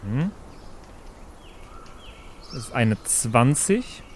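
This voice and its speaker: A man's voice: medium pitch, big pitch swings, extremely slow, noisy background. A man playing a tabletop role-playing game, chatting casually as he improvises what he does.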